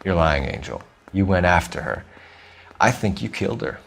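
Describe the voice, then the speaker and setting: An actor playing a clever young police detective in a game, with a clipped, formal, authoritative manner. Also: male voice, sits low, expressive, normal speed, creaky, soft background music. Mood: accusing. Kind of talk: dramatic game dialogue.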